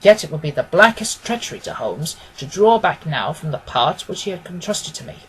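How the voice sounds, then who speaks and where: A man narrating a classic story as a scripted audiobook, in a measured, literary storytelling manner. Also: on the high side, very animated, a bit bright, slight background noise, poor audio.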